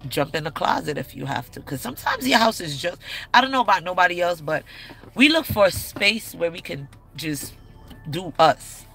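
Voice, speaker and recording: A woman's voice: fairly low pitch, animated, moderate pace, vocal fry, a bit bright, slightly rough. A woman in her thirties giving relationship advice in a blunt, candid, slangy way. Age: 30s. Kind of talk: casual advice talk to camera.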